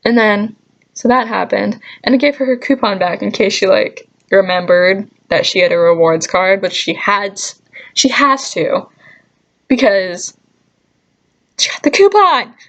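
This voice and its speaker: A young adult woman telling casual, chatty stories in an animated, exasperated way, with sarcastic asides and mild swearing. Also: mid-range voice, a little slow, muffled recording. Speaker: storytelling vlogger. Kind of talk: casual anecdote vlog, chatty.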